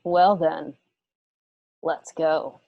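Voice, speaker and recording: A woman's voice: sits low, fairly even intonation, very slow, gravelly, no echo, muffled recording, heavily acted. An actress playing a cocky, defiant young female warrior in a radio drama, brash and taunting.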